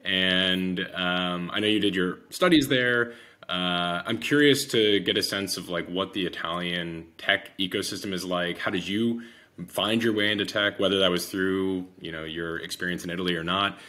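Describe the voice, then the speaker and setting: A man's voice: deep voice, moderate pace, sharp tone. A man hosting an interview, asking a long, hesitant question full of fillers. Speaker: podcast host.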